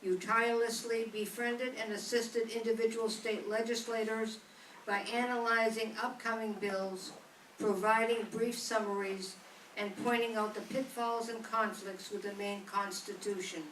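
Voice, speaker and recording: A woman reading a formal letter aloud to a gathering in a steady, measured way. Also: on the high side. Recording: echoey room from a distance with faint noise.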